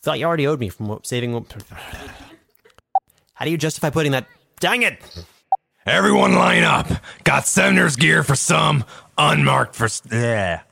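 A man's voice: fairly high pitch, expressive, normal speed, vocal fry, long pauses, pushed and bright. A man voice acting in a recording session, restarting lines and joking between takes. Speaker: voice actor.

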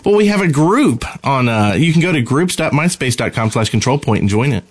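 A man's voice: medium pitch, fairly quick. A man co-hosting a podcast with playful, casual banter. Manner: animated, playful. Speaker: podcast co-host.

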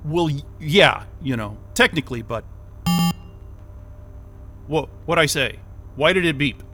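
An actor playing a nervous, disoriented job interviewee in an audio drama, hesitant, halting and defensive. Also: male voice, on the high side, animated. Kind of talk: naturalistic audio drama acting.

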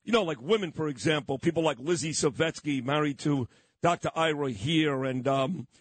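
Male radio host, emphatic and list-heavy, slightly high voice, dry close mic. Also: moderate pace, no room sound. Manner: emphatic, talks in long run-on lists. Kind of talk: radio interview.

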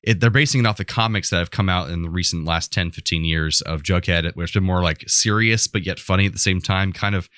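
A man with a very low voice, recorded close and dry. He talks as a podcast co-host in casual conversation, enthusiastic and rambling as he goes. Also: fast talker, hardly any pauses, a bit bright, no echo. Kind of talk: casual pop culture chat.

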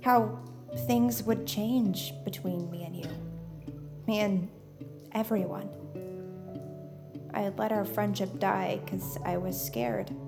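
A woman playing an awkward, scared, sarcastic American teenage girl in an audio drama, speaking in a halting, self-deprecating way. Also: mid-range voice, fairly even intonation, music in the background. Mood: hesitant, apologetic. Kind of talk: naturalistic audio drama acting.

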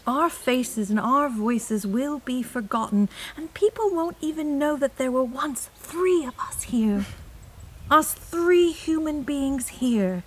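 A woman's voice: sits high, takes it slowly. A woman acting in an audio drama as a wistful, yearning woman reflecting on life.

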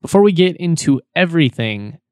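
Casual male podcast host, a bit hoarse, loose and talkative, dry mic. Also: on the high side, very animated, a little fast, a little mellow, dry recording. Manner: chatty, repeats words, laughs between points. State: slightly hoarse. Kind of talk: casual sports podcast talk.

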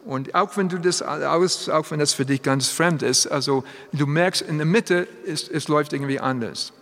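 A man preaching a sermon in German, which is not his first language; he speaks haltingly and drops in English phrases. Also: fairly high pitch, a bit bright. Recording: long hall reverb.

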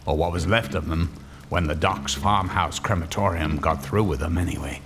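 A man's voice: low voice, normal speed. A man narrating a hard-boiled noir story with a wry, gritty delivery. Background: music score.

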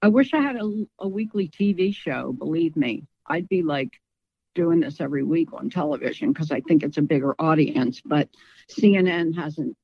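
A woman's voice: sits low, expressive, moderate pace, no echo. Outspoken woman, dull lo-fi sound. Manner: outspoken, emphatic. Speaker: interview guest. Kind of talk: conversational interview.

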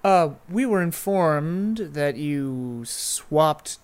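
Guy doing a nervous polite concierge, high voice, hems a lot. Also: expressive, very slow, very noisy. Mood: deferential. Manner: hesitant, formal. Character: polite male hotel concierge, deferential.

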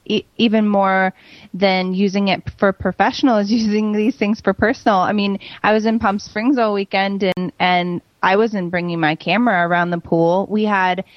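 A woman's voice: medium pitch, steady tone, moderate pace, dry recording, lo-fi sound. A woman, a wedding photographer, chatting enthusiastically and rambling with many fillers. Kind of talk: casual chat.

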